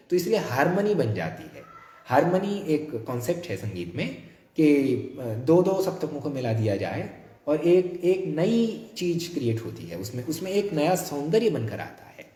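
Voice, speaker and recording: A man giving an academic lecture on music theory, explaining slowly and singing scale notes to demonstrate pitch, recorded on a phone about a foot away; his throat is tired. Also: a little mellow, reverberant. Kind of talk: academic lecture with sung examples.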